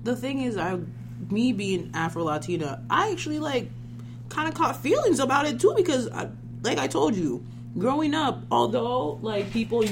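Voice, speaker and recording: A woman's voice: fairly high pitch, expressive, normal speed, music in the background. A young woman hosting a casual conversation, telling stories in an emphatic, informal way. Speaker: podcast host. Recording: noisy recording with clear hum.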